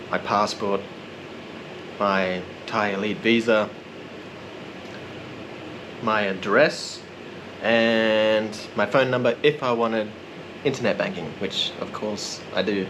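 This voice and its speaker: A man narrating a casual vlog in a relaxed, rambling, self-deprecating way. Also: lowish voice, slow, long pauses, background noise. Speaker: vlogger.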